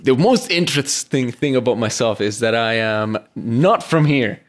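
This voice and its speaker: A man's voice: medium pitch, animated, moderate pace. A man from Venezuela, a native Spanish speaker with a Venezuelan accent, co-hosting a casual podcast over a remote call and laughing easily. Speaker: podcast co-host. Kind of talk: casual chat.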